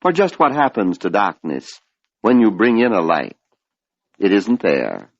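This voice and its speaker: An older man giving a measured, oratorical spiritual lecture on an old radio broadcast, posing rhetorical questions. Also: lowish voice, big pitch swings, no room sound. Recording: dull lo-fi vintage radio recording.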